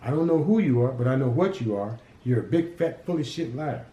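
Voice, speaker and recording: A man's voice: mid-range voice, expressive, brisk, warm tone, highs cut off, close to an everyday voice. A man voicing a cocky, defiant city teenager who talks back to gangsters. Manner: mouthy, defiant, smart-alecky. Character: cocky streetwise teen boy from the city. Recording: roomy echo.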